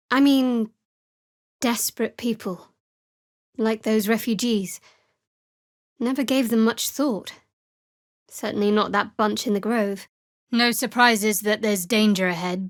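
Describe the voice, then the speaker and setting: A woman playing a guarded fantasy cleric, higher-pitched, close and dry. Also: unhurried, long pauses, dry recording, close to an everyday voice. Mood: thoughtful, dry. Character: guarded young female cleric of a dark goddess, dry and secretive. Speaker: video game character actor. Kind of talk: fantasy video game voice acting.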